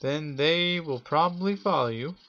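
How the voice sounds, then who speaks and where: A man hosting a show and improvising a spooky story for his viewers, speaking haltingly in short phrases with pauses. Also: a little high, a bit bright, dull audio. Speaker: host telling a scary story.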